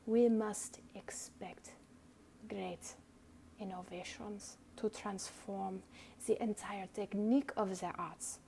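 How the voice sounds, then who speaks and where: Slow, mellow woman giving a dramatic reading. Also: mid-range voice, fairly even intonation, gravelly. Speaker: reader. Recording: noticeable background noise.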